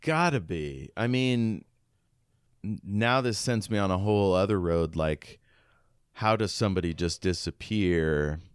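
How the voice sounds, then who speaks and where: A man with a low, smooth voice co-hosts a book podcast in an informal, questioning way. The sound is close and dry, and he muses aloud conversationally. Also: expressive, a little slow, no echo. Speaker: podcast co-host. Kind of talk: casual book discussion.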